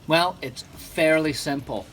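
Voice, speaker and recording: A man's voice: fairly high pitch, slow pace, fairly bright tone. A man hosting an informal instructional video, speaking emphatically with playful turns of phrase. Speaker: host of a gear and vehicle channel.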